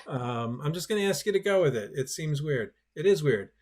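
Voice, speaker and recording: A man's voice: on the high side, animated, brisk, darkish tone. Man hosting a webinar over a video call, conversational and self-deprecating. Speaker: workshop host. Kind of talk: webinar presentation.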